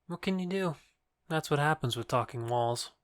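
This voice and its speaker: An actor playing a joking courtier who heckles with teasing quips in a comic audio drama. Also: male voice, on the high side, animated, normal speed, lightly acted. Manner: teasing, quipping. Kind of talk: modernized Shakespeare audio drama comedy.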